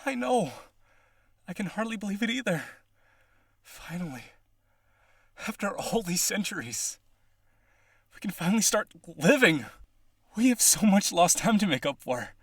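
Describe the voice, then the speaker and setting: A man's voice: high voice, sing-song, unhurried, pauses often, breathy edge, no room sound, studio quality, exaggerated voice. A man playing a rich, devoted vampire aristocrat in a roleplay audio, earnest and pleading, with gushing, hesitant speech. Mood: giddy, excited.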